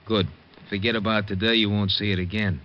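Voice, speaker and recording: An actor playing a menacing gangster thug in an old radio crime drama, with bullying, slangy tough talk. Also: male voice, on the low side, fairly even intonation, fairly quick, sharp tone. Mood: coldly threatening. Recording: dull, noisy old radio recording with clicks.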